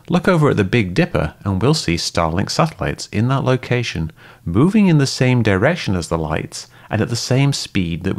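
A man giving calm, methodical scripted narration that explains things step by step. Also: fairly low pitch. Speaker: host and debunker.

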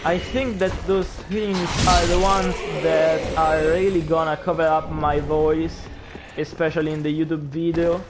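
A man's voice: a little high, little pitch movement, unhurried, noisy background. A young man with an Italian accent, a non-native English speaker, chatting as he streams a game and reacting aloud to it. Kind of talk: gameplay commentary.

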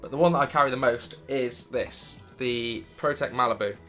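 A British man in his thirties with a croaky voice from illness, chatting enthusiastically about his gear to camera in a home studio. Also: medium pitch, normal speed, sharp tone, soft background music. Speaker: vlogger. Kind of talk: casual gear review to camera. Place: dim home studio room, indoors.

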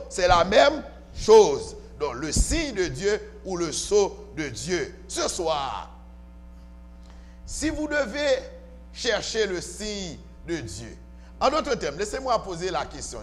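Pastor guy preaching, high voice, echoey church, faint music behind. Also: very animated, takes it slowly. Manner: emphatic preacher, asks the congregation questions. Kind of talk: sermon. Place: church sanctuary with congregation. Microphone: handheld microphone, filmed from far camera.

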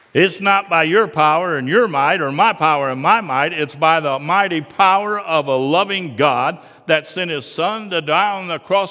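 Middle-aged pastor with a lively, swinging delivery. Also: male voice, fairly high pitch, big-room sound. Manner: preaching that swings from quiet to loud and fervent. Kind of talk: sermon. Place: large church sanctuary, indoors.

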